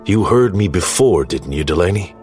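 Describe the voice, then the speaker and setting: An actor playing a solemn, wary god in mortal form, speaking in a grave, measured, formal way in an audio drama. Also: male voice, sits low, lively intonation, darkish tone, faint music behind. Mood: grave warning.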